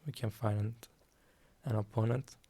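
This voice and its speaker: A man streaming a card game, talking calmly and softly, with frequent ums. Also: lowish voice, husky. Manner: slow, calm, soft-spoken with frequent ums. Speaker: guest streamer. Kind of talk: casual game stream commentary.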